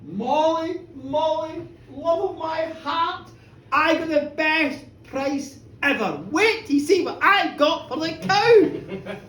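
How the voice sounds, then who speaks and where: Woman acting gullible country husband, folk tale, high, excitable, exclaiming, distant mic. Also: slow pace, full character voice. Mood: proud, reassuring. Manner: exclaiming, pleading, eager. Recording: echoey live room, distant mic, background noise.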